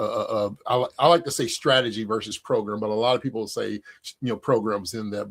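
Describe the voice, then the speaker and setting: A man's voice: on the low side, creaky, slightly rough. Upbeat male interview host. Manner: upbeat, quick, loose phrasing with fillers. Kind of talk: casual interview hosting.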